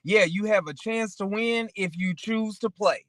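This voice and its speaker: A man's voice: high voice, measured pace, fairly bright tone, slight background noise. A man chatting casually and motivationally as a talk show co-host, rambling through anecdotes and often checking in with the listener.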